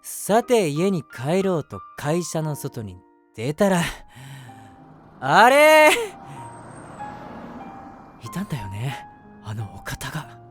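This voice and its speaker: Male actor as flustered young romantic lead, animated pitch swings, self-mocking, confessional voice-drama narration over music. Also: takes it slowly, pauses often, exaggerated voice. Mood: smitten. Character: lovestruck, self-deprecating, easily flustered young man, narrating his crush. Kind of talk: first-person situation voice drama, chatty narration with acted reactions.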